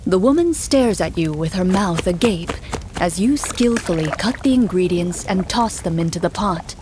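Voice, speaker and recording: A woman's voice: on the low side, lively intonation, normal speed, noisy background, close to an everyday voice. An actress playing a woman game master who narrates and voices townsfolk in a scripted video game. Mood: measured narration.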